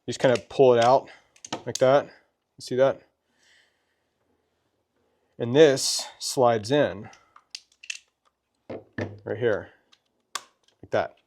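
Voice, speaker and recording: A man with a medium-pitched voice presents a product demonstration. He talks in a casual, folksy way, chatting through each step conversationally. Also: slow pace, long pauses, darkish tone. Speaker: presenter of a gear review.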